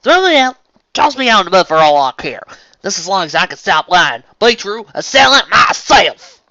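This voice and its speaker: A man voicing a blunt, hardworking farmer pony mare with a loud Southern drawl, reading a fan story aloud. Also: sits high, sing-song, moderate pace, dry recording, lo-fi sound, voice pushed up, heavily acted. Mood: defiant. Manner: loud twangy drawl, impassioned.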